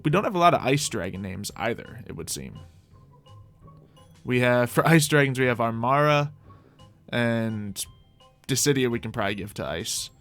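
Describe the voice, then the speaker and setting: A man's voice: mid-range voice, a bit bright. A man doing casual gameplay commentary, musing and thinking aloud as he talks through his choices. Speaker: host of a gaming video series.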